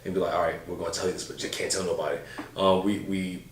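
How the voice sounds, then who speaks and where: Laid-back deep-voiced man in roomy audio. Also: flat delivery, quick pace, slight background noise. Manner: laid-back, slangy, rambling with many fillers. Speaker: podcast co-host. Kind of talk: casual chat. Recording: roomy, a bit far from mic.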